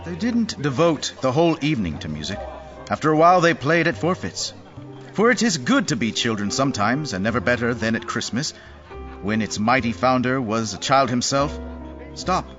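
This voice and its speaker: A man's voice: mid-range voice, big pitch swings, moderate pace, fairly bright tone. A man narrating a dramatised story in a wry, theatrical manner. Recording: dull audio with music behind.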